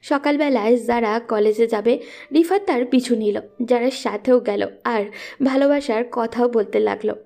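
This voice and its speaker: A woman's voice: a little high, moderate pace, gravelly, mellow. A woman reading scripted narration for a romantic story as its narrator.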